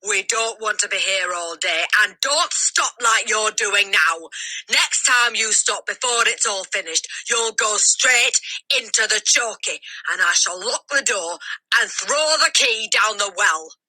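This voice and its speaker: A woman voicing a tyrannical, bullying headmistress from a children's storybook, sneering and explosive, giving commanding drawn-out orders. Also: medium pitch, lively intonation, pushed and bright, voice pushed up, exaggerated voice, poor audio. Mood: furious, shouting. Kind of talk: children's storybook read-aloud, character voice.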